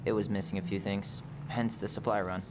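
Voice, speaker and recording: A man's voice: fairly low pitch, little pitch movement, brisk, background noise, close to an everyday voice. An actor playing a guarded, wry young man in a naturalistic video game performance. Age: young adult.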